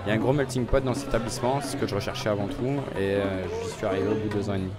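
A man in his forties, a restaurant owner, talking casually in an interview with a relaxed, hesitant manner and many fillers, into a handheld mic in a small restaurant. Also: on the low side, racing. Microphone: handheld reporter mic. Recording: music playing behind.

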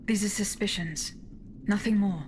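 A woman's voice: mid-range voice, a little mellow, a little hiss or hum, lightly acted. Woman acting a poised, formal clockwork huntress. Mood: probing, reserved. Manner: formal, measured, terse. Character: poised, resolute female clockwork automaton huntress. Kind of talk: video game cutscene acting.

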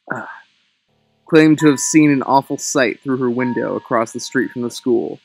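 A young man giving a mock-dramatic story reading, theatrical, breaking into casual wry asides. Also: mid-range voice. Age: young adult. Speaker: host reading own childhood story. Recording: music under the voice. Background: music.

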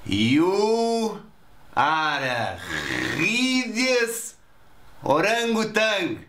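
An actor playing a flustered hotel waiter, speaking broken English and stammering excitedly. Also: male voice, sits high, extremely slow, heavily acted. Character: flustered male hotel waiter, eager and confused. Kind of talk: sitcom acting. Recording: roomy with faint hiss.

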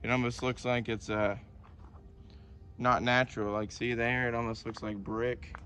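A man's voice: medium pitch, level tone, moderate pace, pushed and bright, a little hiss or hum. A young man with a West Virginian accent, narrating a casual hiking vlog outdoors on a wooded trail, easygoing and chatty. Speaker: vlogger.

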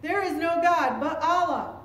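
Lady doing a drunk angry old grandpa from a storybook, shouting loud, high pitched, echoey room. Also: measured pace. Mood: raving, threatening. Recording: roomy, distant mic, faint noise.

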